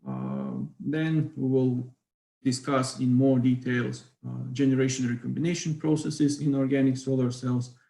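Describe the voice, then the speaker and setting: A man with a Ukrainian accent gives an online physics lecture, pausing often on fillers. His middle-pitched voice has a gravelly edge. The call picks up an echoey room around him. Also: lively intonation, warm tone. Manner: hesitant, many fillers. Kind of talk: online academic talk.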